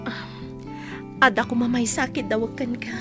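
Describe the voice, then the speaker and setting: A woman's voice: fairly high pitch, fairly even intonation, moderate pace, over music, close to an everyday voice. A woman with an Ilocano accent playing a grateful, lovestruck single mother in a radio drama, sentimental and heartfelt. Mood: affectionate.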